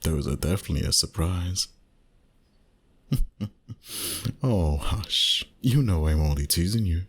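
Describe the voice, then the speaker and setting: A flirty, commanding male vampire played by a man for an intimate ASMR roleplay. His voice sits very low and comes out husky and breathy. He takes his time, teasing softly and tenderly with slow, seductive phrasing. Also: animated, exaggerated voice. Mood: playful teasing. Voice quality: breathy, husky, often near a whisper.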